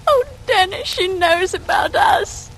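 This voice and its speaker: An actress playing a young, clinging English maid in a radio drama, with a working-class accent and a plaintive, breathless delivery. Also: female voice, extremely high pitch, sing-song, takes it slowly, a bit bright, heavily acted. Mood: tearful, pleading. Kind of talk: 1940s radio acting. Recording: noisy vintage radio recording.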